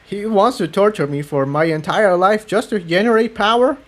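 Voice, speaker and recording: An intense young pony, shaken and urgent, performed by a man in a fantasy audiobook. The pitch is lifted somewhat, and the delivery presses forward with pointed questioning. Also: moderate pace, natural voice. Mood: horrified.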